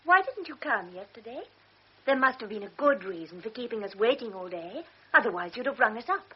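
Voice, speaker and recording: An actress playing a proud, sharp-tongued invalid young woman who speaks intensely and reproachfully in a classic radio drama. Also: on the high side, expressive, husky. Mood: suspicious. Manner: intense, reproachful, quick to flare. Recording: dull old radio recording.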